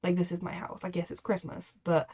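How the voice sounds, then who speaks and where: A woman with a head cold, chatting warmly and reacting to a TV episode as she watches it, speaking kindly to the characters. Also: fairly low pitch, completely flat delivery, a little fast, breathy edge. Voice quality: nasal from a cold. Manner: chatty, empathetic, talks to the characters. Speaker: reaction video host. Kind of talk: casual reaction commentary.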